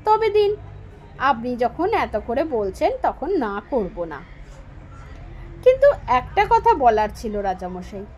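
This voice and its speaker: Woman voicing a high-voiced, naive young villager. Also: big pitch swings, slow pace, pauses often, a little mellow, slight background noise. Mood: casual, earnest. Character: simple, polite, stubbornly naive young village man.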